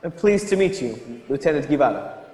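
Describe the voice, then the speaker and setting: An actor playing a polite, earnest young gentleman in a radio drama, courteous and apologetic. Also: a little high, sing-song, brisk. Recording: echoey hall-like reverb.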